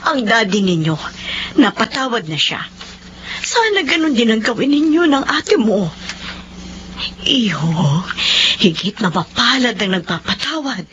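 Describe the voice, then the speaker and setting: A woman acting out a coaxing middle-aged aunt in a radio drama, her voice swinging widely in pitch. She sounds gentle and persuasive, and the dull recording carries a faint hiss. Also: big pitch swings, a little slow.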